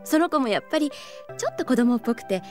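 Woman playing a gentle, high-voiced young teacher. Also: expressive, soft background music, natural voice. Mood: wistful. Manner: soft, reflective, measured. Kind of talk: Japanese anime audio drama acting.

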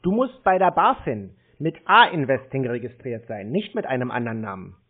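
A man's voice: mid-range voice, sing-song, moderate pace, narrow, tinny audio. A man in an argumentative phone conversation, talking in a blunt, confrontational, colloquial way as he challenges the caller. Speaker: scam baiter on a call.

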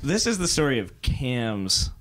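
A man's voice: medium pitch, very animated, unhurried, heavy background noise. A young man at a party, telling a rambling story to friends with lots of fillers and laughter. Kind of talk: casual party chat.

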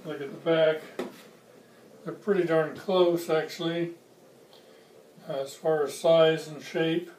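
A man in his sixties with a fairly high-pitched voice talks through a casual hands-on review. He pauses between short, plain-spoken phrases. He is recorded a little away from the mic in an echoey kitchen, with faint noise. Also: steady tone, darkish tone. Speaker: hobbyist video reviewer. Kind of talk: casual product comparison, unscripted. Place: home kitchen, indoors. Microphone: camera mic a few feet away. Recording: distant mic, roomy echo, faint noise.

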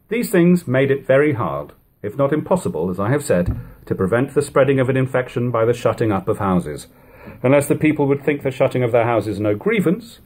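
A man in his forties narrates an old book in a husky, fairly low voice. His phrasing is formal and measured, with pitch that rises and falls dramatically. He is recorded in a living room on a device at about arm's length. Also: darkish tone. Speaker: reader. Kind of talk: audiobook-style reading of an old literary narrative. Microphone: webcam or device camera at about arm's length.

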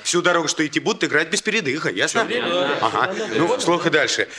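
An actor playing a brisk, streetwise young man in a radio play, commanding, colloquial and repeating his words. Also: fairly high pitch, sing-song, a crowd in the background. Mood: bustling, bossy. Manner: colloquial, rapid repetitions, commanding. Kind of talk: Soviet radio play acting.

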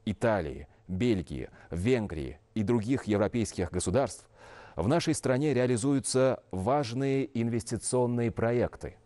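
Mellow-voiced male news anchor. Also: mid-range voice. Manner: measured, official, formal delivery. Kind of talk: formal scripted news reading. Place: television news studio.

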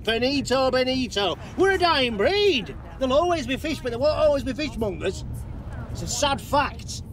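A pompous, touchy fishmonger holds forth from the back of a small car. His voice sits high for a man and swings widely as he boasts about his trade. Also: very animated, moderate pace, crowd noise, exaggerated voice. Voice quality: pompous, self-important. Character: boastful middle-aged male fishmonger, touchy.

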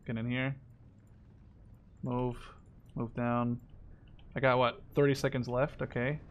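A man's voice: medium pitch, level tone, takes it slowly, muffled recording, a little hiss or hum. Young man casually commentating a strategy game. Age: 20s. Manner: thinking aloud, muttering, casually profane. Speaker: gamer commentating own play. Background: game gunfire and artillery.

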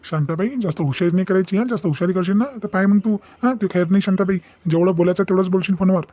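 A man's voice: high-pitched, steady tone, quick pace, warm tone. A man voicing a menacing, bullying cartoon kidnapper. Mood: threatening. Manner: bullying, taunting, threatening. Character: menacing village gangster kidnapper demanding ransom by phone. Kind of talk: Marathi cartoon comedy dialogue.

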